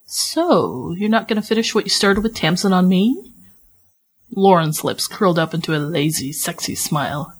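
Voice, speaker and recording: A woman's voice: on the low side, expressive, moderate pace, husky. Giggly woman podcast host, playful, voice swings up and down a lot, casual reading and chatting. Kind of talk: casual chat and giggly fan fiction reading.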